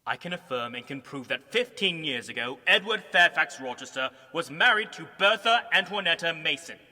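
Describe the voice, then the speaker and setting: A man's voice: on the high side, animated, moderate pace, bright, forceful tone, exaggerated voice. A man playing a stern, unyielding London solicitor in a period audio drama, formal and insistent. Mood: firm, formal. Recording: reverberant church hall.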